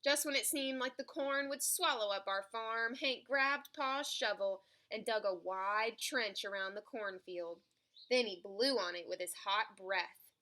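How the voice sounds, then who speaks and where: A woman reading a children's picture book aloud in an expressive storytelling style. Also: fairly high pitch, unhurried. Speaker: storybook reader.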